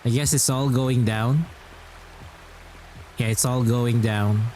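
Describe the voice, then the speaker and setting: A man's voice: medium pitch, fairly even intonation, slow, a little mellow, slight background noise. A man streaming a video game, commenting casually as he plays. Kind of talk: casual live gameplay commentary. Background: game music.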